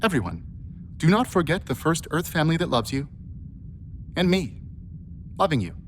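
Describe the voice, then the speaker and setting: A man's voice: a little high, sing-song, moderate pace, a little hiss or hum. A man playing an earnest, affectionate humanoid helper robot in a radio play, speaking in a precise, literal way. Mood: tender.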